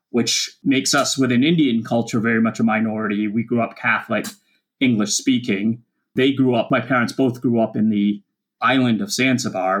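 Male guest in his fifties from Kenya, calm and reflective as he recounts his past. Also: medium pitch. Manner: measured, reflective. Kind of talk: personal storytelling in an interview.

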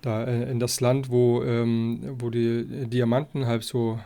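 Man hosting a podcast, halting and rambling. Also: medium pitch, level tone, creaky, mellow. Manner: halting, wordy, circles around his point. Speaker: podcast host. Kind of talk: rambling, casual podcast introduction and interview.

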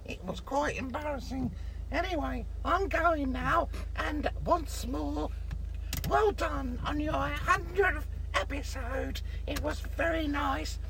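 A man's voice: extremely high pitch, takes it slowly, gravelly, fairly bright tone, a little hiss or hum, full character voice. A man putting on a camp, dotty old celebrity with a wandering Birmingham accent, rambling theatrically through a comic voicemail. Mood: camp, embarrassed. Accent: Brummy (Birmingham), wandering. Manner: theatrical, rambling, mock-scandalised.

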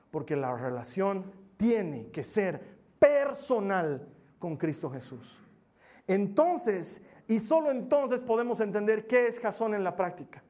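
A man who is a pastor, preaching a sermon in church. He repeats key phrases and swings from loud, emphatic peaks to soft, low passages. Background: music playing under the sermon.

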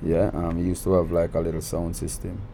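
A man from Jamaica with a Jamaican accent and a naturally deep voice, giving relaxed, easygoing interview answers in a recording studio. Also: brisk, gravelly, slight background noise. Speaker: guest artist.

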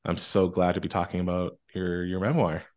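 A man's voice: low voice, flat delivery, brisk, a little mellow. A man hosting a podcast, asking a guest questions in a casual interview style. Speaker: podcast host.

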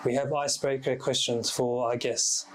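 A man's voice: mid-range voice, level tone, moderate pace. A man hosting a podcast in a casual, conversational way. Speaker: podcast host. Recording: clear background noise and ambience.

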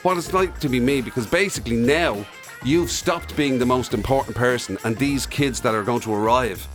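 A man with an Irish accent co-hosting a casual comic podcast, teasing, animated and sweary. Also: medium pitch, normal speed, faint music behind. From Ireland. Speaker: podcast and radio host. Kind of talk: casual comic banter between co-hosts.

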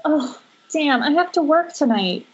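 A woman's voice: high-pitched, expressive, a little slow, slight background noise. A woman playing an earnest young female graduate in an amateur script table read. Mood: casual. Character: Alice, earnest young woman graduate devoted to her father. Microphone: Skype call. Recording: dull lo-fi internet call.

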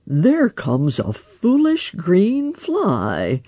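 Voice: medium pitch, very slow, no room sound, voice pushed up, heavily acted. A reader doing a mild, wise old frog. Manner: calm, mild, teasing questions.

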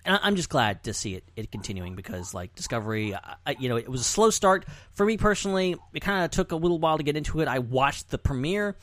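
A man's voice: a little high, big pitch swings, fast talker, hardly any pauses, fairly bright tone, no echo. A man hosting a podcast in casual, rambling, enthusiastic conversation. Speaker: podcast host.

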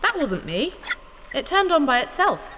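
A woman acts a childlike, literal-minded machine companion in a sci-fi audio drama. The voice is high and swings between clipped robotic phrases and lively pitch. It rings in long reverb with music behind. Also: normal speed, background noise. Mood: insistent. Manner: speaks of herself in third person, clipped robotic phrasing. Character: childlike, literal-minded alien computer companion named Blue.

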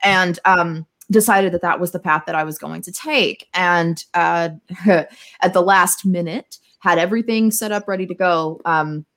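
A young adult woman, a podcast guest, chatting casually and telling stories in an animated, theatrical, self-mocking way. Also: fairly low pitch, a little slow. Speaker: podcast guest, tech marketer. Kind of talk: casual conversational storytelling.

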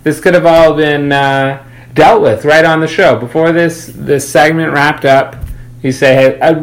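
A man co-hosting a podcast, discussing opinions casually and pausing to search for his words. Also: on the high side, steady tone, poor audio. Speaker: podcast co-host.

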